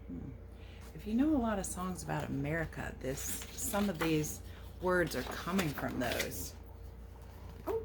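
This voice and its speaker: A woman teacher reading a picture book aloud to children, expressive and sing-song, with casual questioning asides. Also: lowish voice, noisy background. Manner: animated, questioning, sing-song verse delivery.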